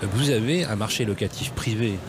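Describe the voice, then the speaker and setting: A man's voice: lowish voice, moderate pace. A man hosting a live radio interview with mayors, pressing them with emphatic questions, recorded on a table mic at a trade fair stand. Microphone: table radio mic with headphones. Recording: noisy trade fair hall.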